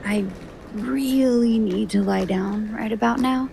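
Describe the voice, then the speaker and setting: An actress playing a wry, awkward young American woman adventurer in a fantasy audio drama, with an American accent and a creaky voice. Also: a little high, slow pace, background noise. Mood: woozy, giddy. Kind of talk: naturalistic audio drama acting.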